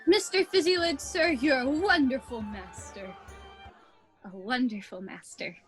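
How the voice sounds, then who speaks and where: A woman playing a proud, defensive female miser who softens into regret, in a theatrical radio play recorded over a video call. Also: high-pitched, a little slow, soft background music. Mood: fond.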